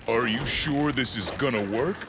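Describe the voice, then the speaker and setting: An actor playing a gruff, laid-back older adventuring companion in a video game, with a husky voice. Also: male voice, medium pitch, normal speed, background noise, close to an everyday voice. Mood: skeptical.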